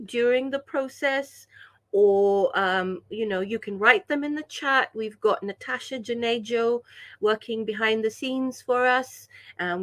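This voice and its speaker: A woman's voice: a little high, fairly bright tone. A woman from Pakistan leading an online language workshop over a video call, enthusiastic and chatty, often checking in with the group. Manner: enthusiastic, chatty, frequent "yeah?" check-ins. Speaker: workshop leader.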